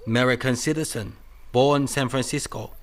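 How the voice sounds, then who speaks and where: A man acts out a shrewd detective in a radio mystery. He speaks at middle pitch, in measured, broken English that sounds humble and deferential. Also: fairly even intonation, natural voice. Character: shrewd Chinese detective posing as humble ranch cook. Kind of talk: old-time detective radio drama acting.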